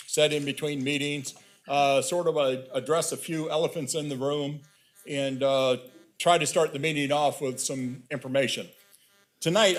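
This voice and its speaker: A man chairing a public utility board meeting, speaking loudly and plainly with frequent fillers, from a table microphone in a meeting room. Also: fairly high pitch.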